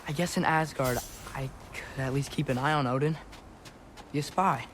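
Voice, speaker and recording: A man's voice: fairly high pitch, moderate pace, fairly bright tone, slight background noise, close to an everyday voice. A young man voicing a defiant, anguished teenage boy in a video game, impassioned and often talking to himself. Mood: anxious, musing. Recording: studio voice under game music.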